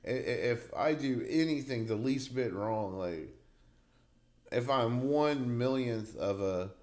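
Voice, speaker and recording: A man's voice: mid-range voice, lively intonation, slow, a little mellow. A man in his forties hosting a casual podcast chat, talkative and slangy, laughing easily. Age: 40s. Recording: roomy echo.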